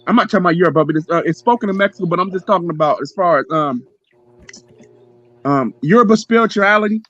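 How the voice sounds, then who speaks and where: A man's voice: high-pitched, quick pace, fairly bright tone, muffled recording. A man hosting a livestream, arguing heatedly and repeating himself, sometimes swearing. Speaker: livestream host. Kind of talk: heated casual debate.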